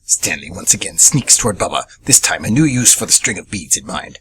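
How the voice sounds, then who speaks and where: A man narrates a scripted story in a low, bright voice. He reads at a quick clip for a radio theatre piece. Some background noise sits under the recording. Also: big pitch swings.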